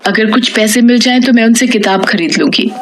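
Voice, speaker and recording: A woman's voice: fairly high pitch, brisk, natural voice. Woman voicing an earnest, determined young housemaid. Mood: polite, hopeful. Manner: earnest, resolute. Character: poor, determined young housemaid with a collector's dream.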